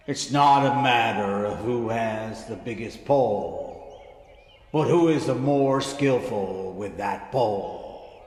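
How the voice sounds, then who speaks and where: A man's voice: mid-range voice, close to an everyday voice. A man playing a pompous tournament announcer at a castle, grandiose and formal, in a comedy audio drama. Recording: roomy, long reverb, music behind.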